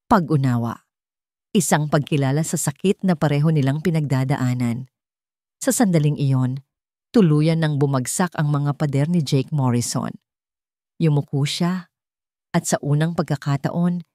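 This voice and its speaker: A woman telling a dramatic love story in a slow, solemn storytelling manner. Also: low-pitched, mellow, no echo, clean studio sound. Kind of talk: scripted dramatic narration.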